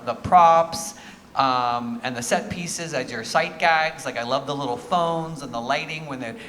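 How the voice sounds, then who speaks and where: Male adjudicator, encouraging and conversational, forceful bright tone, long room reverb. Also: mid-range voice. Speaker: theatre competition judge. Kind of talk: spoken feedback to performers. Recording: reverberant hall, faint noise.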